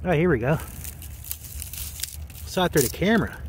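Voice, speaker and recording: A man's voice: fairly high pitch, expressive, moderate pace, a little hiss or hum. A man vlogging beside railroad tracks, casually talking to himself as he explores, recorded on a handheld camera outdoors. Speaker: railfan vlogger. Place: outdoors by rail yard tracks.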